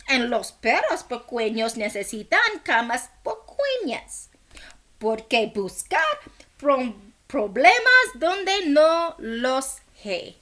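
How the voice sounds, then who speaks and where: A woman doing an expressive children's read-aloud in two languages, with animated, drawn-out storytelling. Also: a little high. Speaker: teacher reading a picture book aloud.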